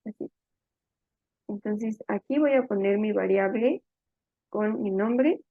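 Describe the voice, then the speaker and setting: A woman's voice: medium pitch, takes it slowly, dry recording. A woman instructor narrating a step-by-step tutorial in an informal, think-aloud explanatory way as she types. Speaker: programming instructor. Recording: narrow phone-like sound, dry.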